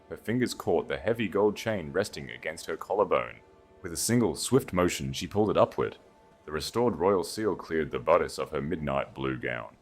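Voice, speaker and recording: Male audiobook narrator, bass register, dramatic fantasy storytelling over soft music. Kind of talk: dramatic scripted narration of a romantic fantasy tale.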